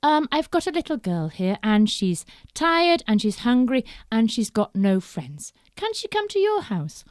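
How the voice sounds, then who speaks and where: A woman doing gentle Mummy Bear. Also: extremely high pitch, sing-song, normal speed, dry recording, clean studio sound, pitched above the performer's own voice. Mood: kind. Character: mild, caring mother bear.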